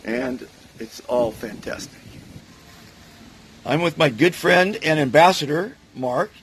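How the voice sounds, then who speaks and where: A man's voice: fairly high pitch, expressive, takes it slowly. An upbeat man chats to his phone camera at a windy outdoor resort. His voice is strained and fading, but he stays cheerful. A faint background hiss sits under the recording. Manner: upbeat, enthusiastic. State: losing his voice. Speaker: vlogger. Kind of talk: casual vlog chat.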